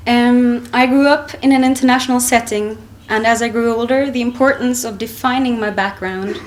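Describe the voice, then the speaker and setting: Young woman giving a conference talk at a lectern. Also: a little high. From Finland. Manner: measured, reading with frequent ums and restarts. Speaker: conference speaker. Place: wood-panelled conference hall, indoors. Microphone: lectern microphone.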